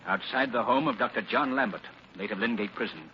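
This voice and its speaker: An actor playing a determined, polished gentleman sleuth in earnest 1940s radio style. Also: mid-range voice, fast, lightly acted. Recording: muffled old radio recording, loud hiss.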